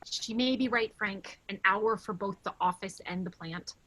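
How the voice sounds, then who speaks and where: A woman playing a sensible, dry-humored office colleague with a restrained manner in a staged dark comedy. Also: mid-range voice, normal speed, poor audio. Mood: cautioning. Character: sensible, dry female colleague reining in the boss.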